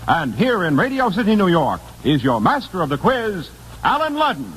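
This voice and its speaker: A man's voice: high voice, huge pitch swings, moderate pace, fairly bright tone, slight background noise, low-quality recording. An American man announcing a 1950s radio quiz show from a script, formal and emphatic, on an old broadcast recording.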